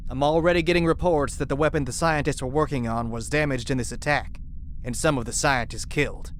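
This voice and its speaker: A man's voice: medium pitch, steady tone, fairly quick, a little hiss or hum, lightly acted. Man as a wary, practical team leader. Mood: wary, concerned.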